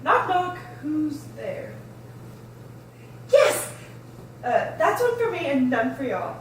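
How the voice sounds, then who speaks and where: A woman's voice: high-pitched, very animated, slow, exaggerated voice. A woman reading the part of a playful, competitive mother at a script table read, in an echoey room. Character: playful, competitive mother playing along with game show. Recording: echoey room, distant mic, faint noise.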